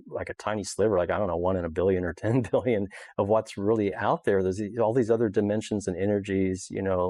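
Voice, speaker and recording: Low-voiced man, casual and rambling. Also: darkish tone, no echo, studio quality. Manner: rambling, informal, peppered with "you know". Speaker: podcast guest. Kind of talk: casual unscripted interview talk.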